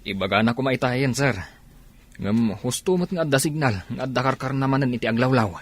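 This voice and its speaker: A man's voice: mid-range voice, fairly quick, natural voice. A man with an Ilocano accent playing a loyal, reasonable soldier in a radio drama. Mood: respectful, explaining.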